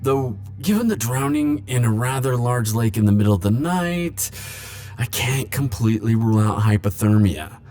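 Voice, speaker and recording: A man playing a defensive, lovelorn immortal in an ASMR roleplay, speaking hesitantly with stammers and halting phrases. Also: medium pitch, animated, darkish tone, faint music behind, natural voice. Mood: casual, wry. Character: flirtatious, defensive male immortal pining for Grim Reaper. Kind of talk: ASMR roleplay monologue to the listener.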